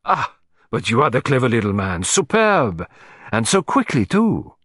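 A man's voice: mid-range voice, very animated, normal speed, a bit bright, breathy edge, no room sound. Man putting on an imperious, passionate Russian countess. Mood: delighted. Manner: theatrical, commanding, sweeping declarations.

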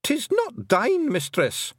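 A man voicing a tiny, rowdy, nervous pixie warrior with a Scottish accent, gabbling excitably in an audiobook. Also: high voice, moderate pace, dry recording, studio quality, pitched above the performer's own voice, heavily acted. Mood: panicked, evasive. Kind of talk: audiobook character voice.

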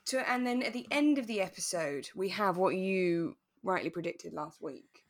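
Lower-pitched British woman chatting. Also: lively intonation, moderate pace. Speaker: podcast co-host. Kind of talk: relaxed chat reviewing a radio drama.

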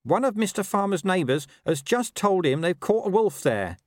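Man voicing an urgent, high-pitched scruffy talking dog. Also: big pitch swings, moderate pace, no room sound, clean studio sound. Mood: urgent, wheedling. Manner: urgent, colloquial, wheedling. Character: streetwise talking male dog, urgent and scheming.

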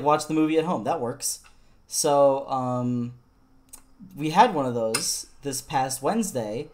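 Laid-back young man, chatty and laughing. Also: medium pitch. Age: young adult. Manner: laughs often, relaxed storytelling with many likes and restarts. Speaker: podcast co-host. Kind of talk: casual chat.

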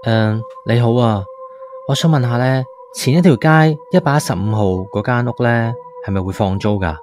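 A man's voice: mid-range voice, normal speed, close to an everyday voice. A man with a Hong Kong accent playing an uneasy city tenant, narrating a ghost story in a conversational, confiding way for a radio drama. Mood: polite, inquiring. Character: uneasy male city tenant moved to an old village house. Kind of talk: first-person ghost story narration, colloquial. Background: background music.

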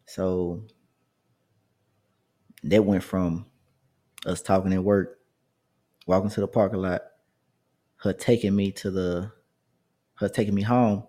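A man in his thirties with a lowish, dark and mellow voice tells a story from his own life. He talks casually and loosely, restarting sentences and throwing in asides to the audience. It feels like relaxed conversational storytelling. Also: slow, pauses often. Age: 30s.

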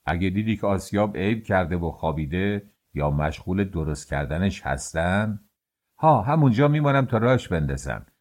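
A man's voice: sits low, lively intonation, darkish tone. A man voicing an old male bakery worker over sixty, speaking with a slack, drawling Kermanshah accent and a grumbling manner.

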